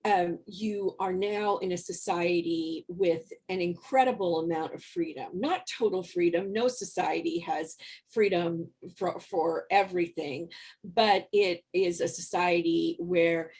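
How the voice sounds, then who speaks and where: A woman's voice: on the low side, steady tone, measured pace, a little mellow, slightly rough. A woman moderating an interview, affirming her guest and leading into questions over a video call. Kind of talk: interview conversation.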